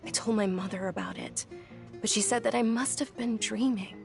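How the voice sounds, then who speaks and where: A woman voicing a gentle, earnest young woman who speaks in a soft, reflective way in a video game. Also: mid-range voice, normal speed, creaky, soft background music, lightly acted. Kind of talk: game voice acting.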